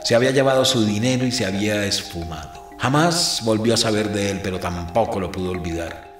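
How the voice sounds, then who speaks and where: An actor playing a grave Colombian priest who narrates a radio drama, retelling a confession in a deliberate, dramatic way. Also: male voice, fairly low pitch, lightly acted. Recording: roomy voice over clear music.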